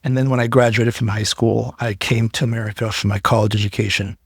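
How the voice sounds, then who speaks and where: A man's voice: on the low side, steady tone, quick pace, creaky, breathy edge, no room sound, studio quality. A middle-aged man from Iran, a podcast guest telling his story earnestly and often repeating words as he builds up. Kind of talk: casual interview talk.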